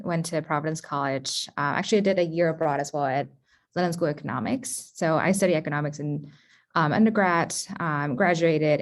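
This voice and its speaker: A woman from Vietnam with a low-pitched voice answers on a video-call panel. She talks in a relaxed way, in quick bursts with fillers. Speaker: MBA panelist. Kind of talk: casual panel discussion.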